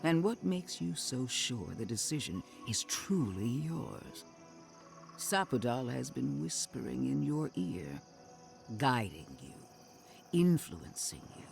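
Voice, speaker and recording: An actor playing a stern, solemn undead zealot in a fantasy game, speaking deliberately and accusingly. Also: male voice, medium pitch, sing-song, vocal fry, faint music behind, heavily acted. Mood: grave, accusing. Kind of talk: fantasy game cutscene acting.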